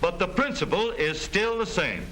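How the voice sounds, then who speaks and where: A man's voice: high voice, big pitch swings, moderate pace, pushed and bright. An American man reading scripted narration for a 1930s educational film in a formal, emphatic, explanatory manner. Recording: noisy vintage 1930s film soundtrack.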